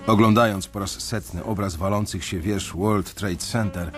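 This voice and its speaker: A young man narrating a radio drama in the first person, measured and brooding. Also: fairly low pitch, fairly even intonation, music in the background. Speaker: narrator and main character.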